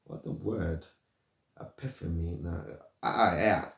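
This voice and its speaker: A man's voice: low-pitched, measured pace, gravelly, echoey room. A man whose first language is not English, hosting his own football channel, talking casually in a rambling, slangy way with many restarts, into a desk mic at home. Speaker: football pundit, channel host. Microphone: desk mic, loose.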